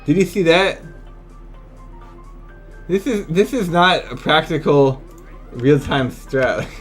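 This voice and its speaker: A man's lively voice jumps around in pitch as he talks through gameplay. He sounds like a streamer in casual conversation with his chat, openly incredulous and loose with swearing. Soft music plays behind him. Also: slow pace. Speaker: video game streamer and speedrun analyst. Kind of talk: casual live gaming commentary.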